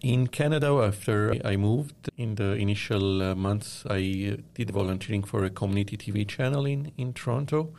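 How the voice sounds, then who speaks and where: A man from Lebanon answering interview questions, speaking in a measured way and often pausing with "uh". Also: lowish voice, vocal fry, darkish tone. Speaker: guest, media company founder.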